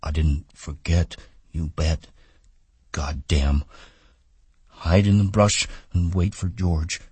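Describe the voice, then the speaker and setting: A man's voice: deep voice, slow pace, warm tone, husky, no echo, heavily acted. A man voicing a simple-minded, childlike giant of a man who talks in a pleading, plaintive way. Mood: muttering.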